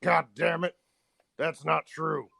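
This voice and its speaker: A man with a gravelly voice bantering on a call-in show in a cocky deadpan. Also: on the high side, takes it slowly. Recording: very noisy call with clicks.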